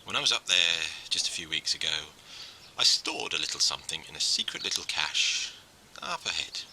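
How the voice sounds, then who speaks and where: A man playing a grieving, outwardly cheery but quietly unsettling friend in a game, speaking in a halting, theatrical way that slips into whispers. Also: sits low, expressive, slight background noise, full character voice, poor audio. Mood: sly, falsely cheery. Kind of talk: first-person game dialogue, dramatic monologue.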